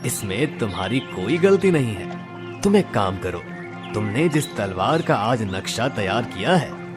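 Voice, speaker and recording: A man voicing a kindly river god in a cartoon moral story, reassuring with calm authority. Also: lowish voice, a little slow, over music. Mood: concerned, reassuring.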